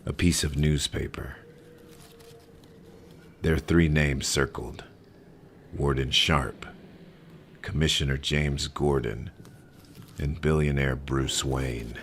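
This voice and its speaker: An actor playing a grim, terse masked male vigilante with a deep gravelly voice in a dramatic audio roleplay. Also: fairly even intonation, a little hiss or hum, heavily acted. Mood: terse, investigative. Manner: terse, slow, brooding, commanding.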